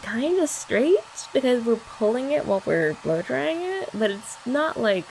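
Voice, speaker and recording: Young woman, ASMR roleplay, teasing girlfriend chat, gravelly edge, faint hum behind. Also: mid-range voice, low-quality recording. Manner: playful teasing chat, soft-spoken. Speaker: ASMR creator. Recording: faint hair dryer noise behind.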